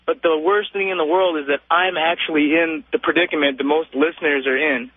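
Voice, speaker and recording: A young male caller speaks over a thin phone line. His voice sits fairly high, and he talks casually and familiarly, rambling a little. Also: fairly even intonation, a little fast, husky, no room sound. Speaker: radio show caller. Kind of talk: casual, personal phone chat. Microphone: telephone.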